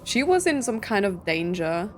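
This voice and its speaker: A woman's voice: mid-range voice, sing-song, moderate pace, slight background noise. A woman giving informal live gameplay commentary, thinking aloud and questioning as she goes. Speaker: gaming video creator reacting to a story quest.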